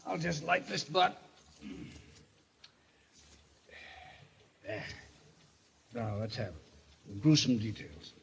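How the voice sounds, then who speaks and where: A man's voice: mid-range voice, big pitch swings, slow pace, creaky, full character voice. A man playing a sleepy, exasperated, wisecracking husband in an old-time radio drama, grumbling in slangy sarcasm. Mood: resigned. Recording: dull, noisy old radio recording.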